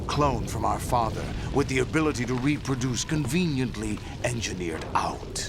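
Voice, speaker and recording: Gravelly game villain, big defiant speeches, dramatic pauses, loud music behind him. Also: male voice, mid-range voice. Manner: oratorical, declamatory, dramatic pauses. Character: grandiose, defiant cloned villain leader craving a legacy. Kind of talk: dramatic video game cutscene acting.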